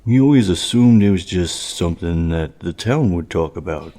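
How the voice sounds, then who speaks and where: A man acting as a stolid small-town police officer in an audio drama, measured and dryly understated, with a philosophical streak. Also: expressive. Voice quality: low, mellow voice.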